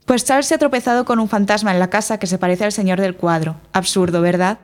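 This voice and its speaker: A woman playing a brisk, sceptical, easily exasperated tenant in an audio drama. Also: mid-range voice, lightly acted. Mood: apologetic. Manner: brisk, no-nonsense.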